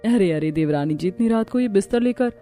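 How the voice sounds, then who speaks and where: A woman's voice: mid-range voice, lively intonation, a little fast, warm tone, lightly acted. A woman voicing an inquisitive, teasing elder sister-in-law in a cartoon family story, politely probing, over music. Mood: suspicious.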